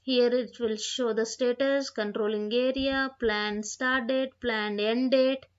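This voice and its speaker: A woman giving an instructional software tutorial, reading out points and repeating phrases as she explains them, on a dull, lo-fi microphone. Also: fairly high pitch, steady tone, slow pace, clean tone. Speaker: software trainer.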